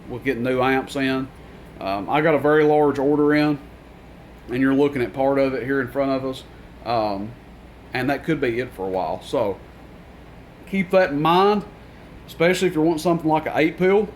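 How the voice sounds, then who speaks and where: Male seller, mid-pitched, informal and matter-of-fact inventory presentation, rambling technical talk over audible background noise. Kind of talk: informal product rundown to camera.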